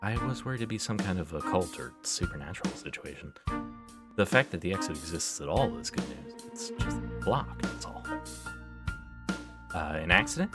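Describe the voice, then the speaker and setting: A man's voice: sits low, big pitch swings, moderate pace, pauses often, over loud music. A man voicing an anxious, exasperated schoolgirl with an incredulous, insistent, questioning manner. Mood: puzzled.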